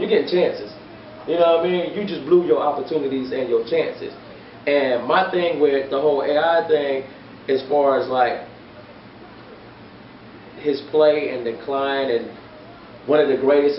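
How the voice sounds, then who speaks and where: A young man arguing sports in casual talk, emphatic and repeating his phrases, recorded by a distant camera in a barbershop. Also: on the high side. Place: barbershop, indoors. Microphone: far camera. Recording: echoey room, distant lo-fi mic, faint hiss.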